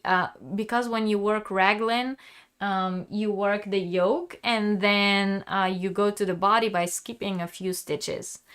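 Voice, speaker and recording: A woman's voice: medium pitch, fairly bright tone, smooth. A woman with a sore, fading voice hosting a live crochet lesson, chatty and answering viewers' questions. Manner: chatty, restarts often, answers viewers directly. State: sick, sore throat, losing her voice.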